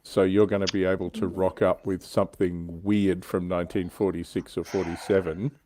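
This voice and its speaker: Low pitched aussie bloke on a hobby stream panel, just chatting. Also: male voice, little pitch movement, normal speed, dark tone, a little hiss or hum. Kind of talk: casual hobby chat.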